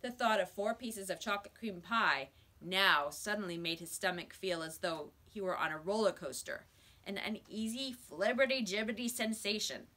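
Middle-aged woman, mid-range voice, measured and expressive storybook reader for young pupils. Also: medium pitch. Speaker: teacher reading aloud. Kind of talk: children's storybook read-aloud. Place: small school office or library room, indoors.

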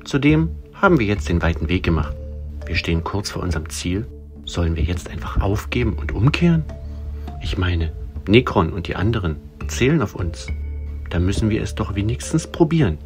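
A calm male ghost leader in an audio drama, acted by a man, measured and commanding. The voice sits low, with music underneath. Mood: urging. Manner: measured, reassuring. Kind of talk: German audio drama acting.

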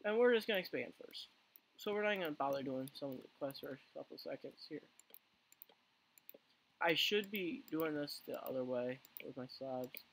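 Hoarse gravelly gaming commentator. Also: male voice, fairly high pitch, animated, normal speed, poor audio. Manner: rambling with many fillers and restarts. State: hoarse, losing his voice. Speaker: gaming channel host. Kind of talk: casual let's play commentary.

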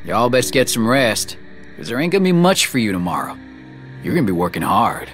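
An actor playing a surly, foul-mouthed Southern US armed guard in a video game. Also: male voice, medium pitch, big pitch swings, fairly quick, a bit bright. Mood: bossy. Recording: music playing behind.

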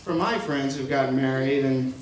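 A young man giving an informal, joking best man's wedding speech in a halting, affectionate, teasing way over a PA in a tent. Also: medium pitch. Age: young adult. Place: large wedding reception tent at night. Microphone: handheld PA mic, picked up by distant camera. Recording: distant echoey PA sound, noisy guest-filled tent. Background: noisy wedding guests.

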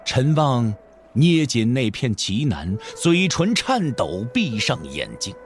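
A man's voice: mid-range voice, very animated, slow pace. A man narrating a dramatic audio drama as a scripted storyteller. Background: background music.

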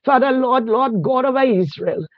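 Very high-voiced man in fervent prayer. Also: a little slow, no room sound. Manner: fervent, pleading, ends each phrase with a softer "oh God" or "Lord". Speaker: prayer leader. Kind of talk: spontaneous spoken prayer. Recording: dull, dry radio recording.

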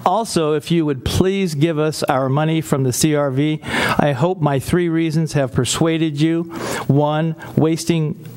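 A man's voice: fairly high pitch, steady tone, unhurried, a little hiss or hum. An older man in his sixties, a city council member, reading a child's letter aloud at a meeting with amused asides, speaking into a desk microphone in a council chamber. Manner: warm, amused asides between read lines. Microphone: desk gooseneck mic.

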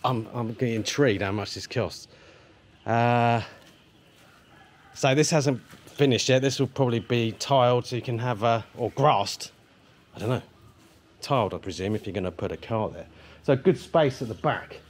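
A man's voice: mid-range voice, normal speed. Chatty man in echoey empty rooms. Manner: chatty, thinks aloud, mixes in broken Thai phrases. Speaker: vlogger touring a house for sale. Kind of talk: casual walk-through narration. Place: inside an empty house with hard floors.

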